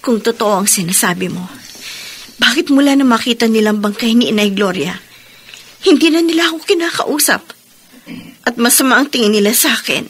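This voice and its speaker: A sad grieving young mom in a radio drama, quiet, resigned, slightly high voice. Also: female voice, expressive, a little fast, long pauses, breathy edge. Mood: sorrowful. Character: grieving, guilt-ridden young mother.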